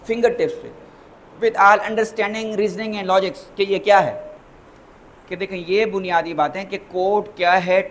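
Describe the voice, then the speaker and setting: A Pakistani man giving a lecture as a lawyer, deliberate and emphatic, slowing down when he mixes in English phrases. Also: high voice, a little hiss or hum.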